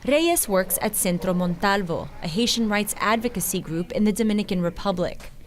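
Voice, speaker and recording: A woman's voice: mid-range voice, animated, moderate pace. A woman reading scripted news documentary narration in the manner of a reporter.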